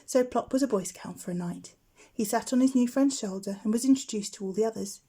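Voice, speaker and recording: A woman's voice: on the high side, brisk, warm tone. A woman narrating a children's bedtime story as a teacher would.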